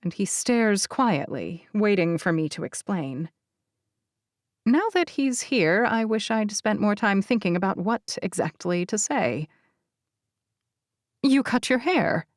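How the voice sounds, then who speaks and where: Expressive woman narrator, unhurried and lively. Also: mid-range voice, no echo, studio quality. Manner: expressive, deliberate phrasing. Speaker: audiobook narrator. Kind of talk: scripted first-person novel narration.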